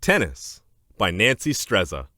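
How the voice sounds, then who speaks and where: A man narrating a children's educational book aloud in a scripted, clear and deliberate instructional manner. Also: fairly low pitch, wildly swooping pitch, bright, forceful tone, no room sound, studio quality.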